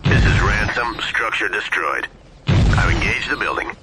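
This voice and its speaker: An actor playing a cocky, tough combat pilot, giving clipped battle calls over a game's military radio. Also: male voice, fairly low pitch, expressive, unhurried. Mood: terse, cocky. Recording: noisy radio with battle ambience.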